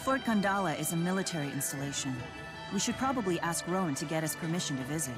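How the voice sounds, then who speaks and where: A woman's voice: lowish voice, lively intonation, fast, lightly acted. A woman voicing a composed, curious young spirit woman with formal phrasing in a video game cutscene. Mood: composed, matter-of-fact. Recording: music under the voice.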